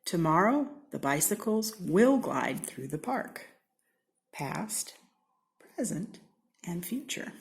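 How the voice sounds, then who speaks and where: An American woman from Seattle reading a children's storybook aloud in an expressive, theatrical way, with deliberate, playful emphasis. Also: on the low side, vocal fry. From Seattle, United States. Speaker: storybook reader.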